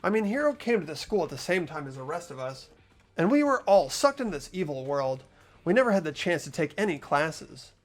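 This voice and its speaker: A person reading visual novel narration and dialogue expressively, voicing an earnest, anxious high school student. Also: big pitch swings, brisk. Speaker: player reading a video game's lead role aloud.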